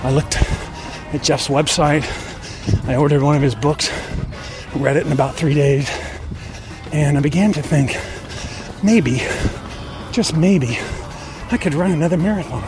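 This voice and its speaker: A man's voice: a little high, a little slow, poor audio. Man hosting a podcast, music behind him. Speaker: podcast host. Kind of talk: conversational podcast monologue and interviewing.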